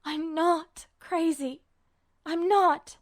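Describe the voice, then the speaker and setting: A woman's voice: very high-pitched, steady tone, extremely slow, no echo, studio quality, pitched above the performer's own voice, heavily acted. A woman voicing an angry, paranoid young man, insistent and emotional, in an audiobook-style story. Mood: defiant. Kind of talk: audiobook fan fiction reading.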